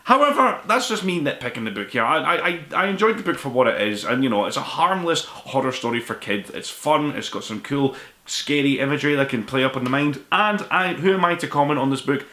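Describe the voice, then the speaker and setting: A man's voice: mid-range voice, lively intonation, brisk, pushed and bright. A man in his twenties or thirties giving a casual, rambling book review to camera as host of his own show. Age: 20s to 30s. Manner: rambling, self-deprecating, informal. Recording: echoey room.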